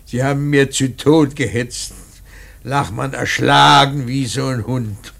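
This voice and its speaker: A man playing a grieving, bitter old painter in a radio drama, speaking in a halting, emphatic, theatrical monologue with a rough, worn voice. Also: mid-range voice, fairly even intonation, a bit bright, exaggerated voice. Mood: anguished, raging. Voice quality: husky, gravelly. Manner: halting, emphatic, swelling from murmurs to outcries. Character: grieving old painter mourning his dead son, bitter, rambling.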